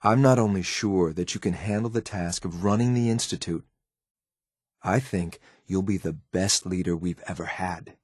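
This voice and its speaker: A man acting as a stoic, loyal but ruthless synthetic soldier in a video game, with a clipped, military, deadpan delivery. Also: deep voice, moderate pace, slightly rough, no echo, clean studio sound. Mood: earnest, restrained. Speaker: companion character in a video game. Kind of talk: scripted game voice lines.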